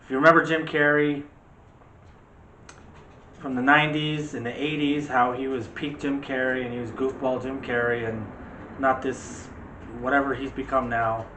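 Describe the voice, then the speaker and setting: A man's voice: medium pitch, level tone, normal speed, pushed and bright. Man chatting casually in an echoey room. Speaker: co-host of a movie discussion show. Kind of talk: casual opinionated movie chat. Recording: echoey room with faint background noise.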